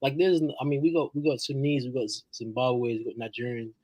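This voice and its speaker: A man's voice: mid-range voice, fast, a little mellow, no room sound, poor audio. Guy from australia rambling on a podcast, repeats himself a lot, dry close sound. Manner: rambling, repeats words while thinking. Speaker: podcast panelist. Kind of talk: casual chat.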